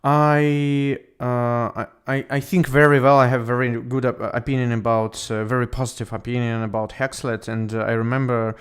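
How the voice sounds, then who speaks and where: Smooth-voiced man with a Russian accent. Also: medium pitch, level tone, normal speed, hardly any pauses. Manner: encouraging, positive. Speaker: host of an English speaking club. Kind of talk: casual online conversation.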